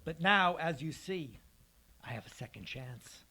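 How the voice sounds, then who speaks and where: Man playing high-voiced, unhinged, courteous bomber. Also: expressive, a little slow, pushed and bright, breathy edge, exaggerated voice. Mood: smug, cold. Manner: courteous, menacing, precise. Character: calm, polite, unhinged male escaped convict bomber. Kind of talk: radio detective drama acting.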